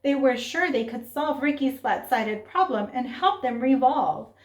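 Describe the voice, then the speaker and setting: A woman reading a children's story aloud in an expressive, dramatic way, with asides and questions to young listeners. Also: high voice, measured pace. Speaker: storybook reader. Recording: roomy, echoing sound.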